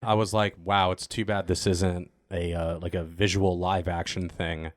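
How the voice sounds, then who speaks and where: Male cast panellist, low-pitched and dry-miked, chipping in conversationally. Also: level tone, normal speed, no room sound. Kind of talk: casual chat, interjecting.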